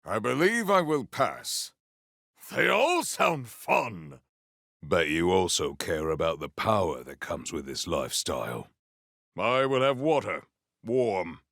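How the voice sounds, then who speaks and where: A man's voice: sits low, big pitch swings, takes it slowly, creaky, a bit bright, no echo, clean studio sound, heavily acted. A man playing a solemn, proud warrior in a video game, speaking with formal, stately diction and dramatic weight. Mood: earnest, stately. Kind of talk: scripted game character lines.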